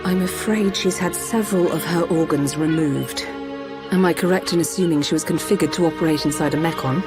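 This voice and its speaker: A composed doctor of a machine people, acted by a woman, explains with clinical precision and compassion. The formal, measured game performance sits over loud music. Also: low voice, natural voice. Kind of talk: scripted video game drama acting. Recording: game audio with music bed.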